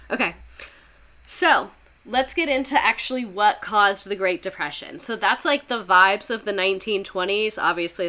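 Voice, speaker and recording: Young southern lady teaching history on stream, bright tense voice, rambly and giggly. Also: mid-range voice. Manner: chatty, rambling, full of "like" and laughter. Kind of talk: casual history lesson on a livestream.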